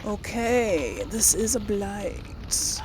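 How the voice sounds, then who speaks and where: A woman's voice: medium pitch, expressive, very slow, background noise. A woman doing casual let's play commentary, thinking aloud and talking to the game's characters. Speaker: gaming video host.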